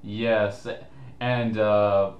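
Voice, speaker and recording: Slow, low-voiced man in an echoey room. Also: level tone, highs cut off. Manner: halting, chuckles often. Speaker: co-commentator. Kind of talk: casual mocking commentary.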